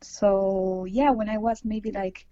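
A woman's voice: medium pitch, flat delivery, slow, warm tone. A young woman from Argentina talks casually about tango in a podcast interview, sprinkling her answers with "like" and "you know." Her voice sounds dry and a little dull, as if recorded with no room echo. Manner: chatty, many fillers and like, you know. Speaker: tango dancer and teacher.

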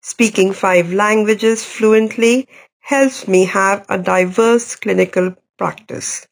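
A middle-aged woman psychiatrist from India with a Welsh Indian accent, reading her personal story in a measured, even way. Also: mid-range voice, creaky.

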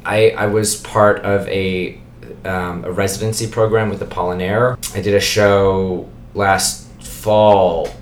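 A man's voice: deep voice, flat delivery, takes it slowly. A man from Wyoming chatting casually as a podcast guest host, easygoing and trailing off. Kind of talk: casual chat. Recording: echoey room with faint noise.

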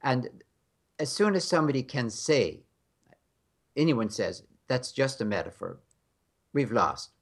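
American guy w a rough gravelly voice, frank and wry, chatting as a guest. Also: mid-range voice, animated, measured pace, long pauses. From United States. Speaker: guest scholar. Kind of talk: conversational interview.

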